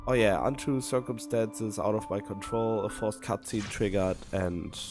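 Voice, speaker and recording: Low-voiced rambling male game streamer. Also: a little slow. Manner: rambling, wry, self-deprecating. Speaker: video game live streamer. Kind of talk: casual livestream chat and commentary. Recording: music behind the talk. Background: game music.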